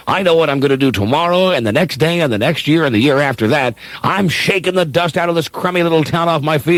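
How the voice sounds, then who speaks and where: A man's voice: a little high, no room sound, natural voice. A man playing an eager, folksy young small-town dreamer in a comedic script read, stammering as he goes. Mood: excited, boastful. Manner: stammering, breaks off with asides. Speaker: radio show performer.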